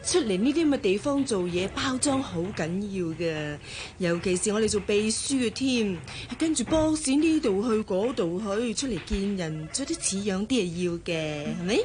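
An actress playing a chatty, vain, gossipy young office secretary in a radio drama, speaking Hong Kong Cantonese with English words dropped in. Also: female voice, medium pitch, expressive, moderate pace, few pauses, darkish tone, slight background noise, natural voice. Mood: breezy, gushing.

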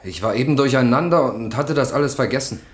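A man's voice: mid-range voice, lively intonation, quick pace, natural voice. An actor playing an evasive, sullen man on trial in a radio crime drama, giving terse, reluctant answers in a roomy, echoing space. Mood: defensive.

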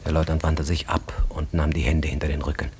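A man reading literary, scripted narration for a radio drama in a husky voice. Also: very deep voice, monotone, fairly quick, darkish tone, slight background noise.

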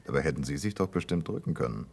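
An actor playing a jovial, teasing gentleman juror in a 1960s German radio play, with an ironic manner. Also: a bass voice, expressive, quick pace. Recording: 1960s radio drama recording.

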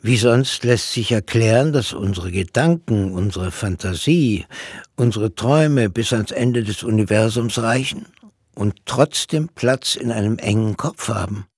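Radio drama: a cerebral, musing narrator figure, measured and slow, slightly deep with a rough grain. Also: male voice, darkish tone, no echo, studio quality. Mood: pensive, questioning. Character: pensive man musing on mind, matter and dreams. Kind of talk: literary radio play monologue.

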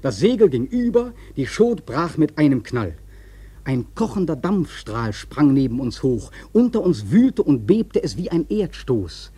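An actor playing a sailor who recounts a perilous whale hunt, narrating emphatically and theatrically in a husky voice for a radio play. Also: male voice, a little high, sing-song, a little slow, dark tone, no echo, exaggerated voice. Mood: breathless, excited. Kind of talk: dramatic first-person radio play narration. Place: dry studio.

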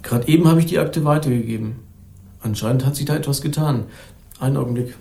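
An actor playing an affable but guarded young police officer in a crime radio play. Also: male voice, mid-range voice, fast, vocal fry, warm tone, close to an everyday voice. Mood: businesslike. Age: young adult. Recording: roomy studio office acoustic.

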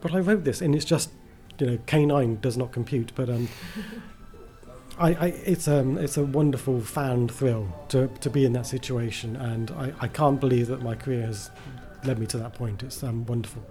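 Mellow, rambling enthusiastic man. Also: medium pitch, moderate pace, soft background music. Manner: enthusiastic, rambling, self-deprecating. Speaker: writer, interview guest. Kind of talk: casual interview chat.

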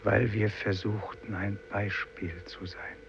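A man's voice: fairly low pitch, flat delivery, takes it slowly, vocal fry. An actor playing a doomed, solemn fisherman in a 1950s German radio drama, declaiming a vow like a litany. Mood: solemn, resolute. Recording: muffled, noisy vintage radio recording.

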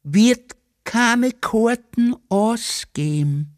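A man playing a starved, devilishly smug Austrian ticket clerk, gloating in a drawn-out refusal. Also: very high-pitched, expressive, no room sound, studio quality, pitched above the performer's own voice, full character voice.

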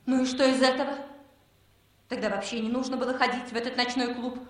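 High-pitched, indignant wife played by a woman. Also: flat delivery, quick pace, echoey room, natural voice. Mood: defensive, indignant. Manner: plaintive, argumentative. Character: defensive, indignant young wife of Soviet official. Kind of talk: 1960s Soviet radio drama acting.

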